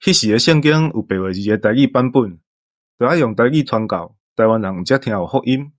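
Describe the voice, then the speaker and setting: A man's voice: medium pitch, expressive, fairly quick, no echo, studio quality. A man with a Taiwanese accent hosting a podcast, explaining things to listeners. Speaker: podcast host.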